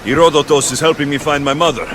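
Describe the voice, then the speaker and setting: An actor playing a determined, wry young Greek warrior in a video game, speaking directly. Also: male voice, fairly high pitch, fairly even intonation, fast, lightly acted. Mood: serious, resolute. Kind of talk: dramatic video game dialogue. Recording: noisy outdoor ship ambience behind voice.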